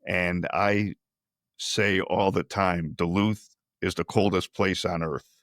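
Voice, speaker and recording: A man hosting a podcast interview, speaking in a measured way with frequent restarts and fillers. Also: low-pitched, fairly even intonation, fairly bright tone, dry recording, studio quality. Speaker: podcast host. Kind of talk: conversational interview.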